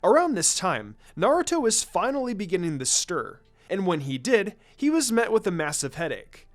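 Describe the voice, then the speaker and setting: A man reading a story aloud as an expressive narrator of scripted fiction. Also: high voice, moderate pace, soft background music. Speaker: story reader.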